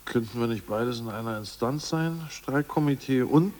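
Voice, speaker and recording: An actor voices a doubtful, easygoing party official in a radio play, speaking at a mid pitch. He questions each plan in an offhand way. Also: normal speed, darkish tone, natural voice. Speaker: ousted Politburo member. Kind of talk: 1980s radio play acting.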